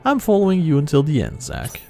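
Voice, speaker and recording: A man's voice: on the high side, huge pitch swings, fairly quick, creaky, a little mellow. A man giving casual video game commentary, reading text aloud and adding wry asides. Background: game music.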